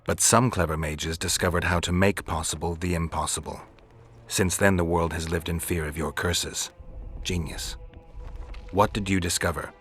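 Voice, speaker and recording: Gruff sardonic warrior for hire, very low gravelly voice, deadpan video game delivery, faint music behind. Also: male voice, moderate pace. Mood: curt impatience. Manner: terse, dry, deadpan. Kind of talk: video game dialogue acting.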